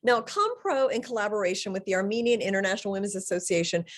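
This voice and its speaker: A woman's voice: mid-range voice, expressive, fast talker. A woman moderating a live panel webinar, upbeat and loose, with fillers and restarts.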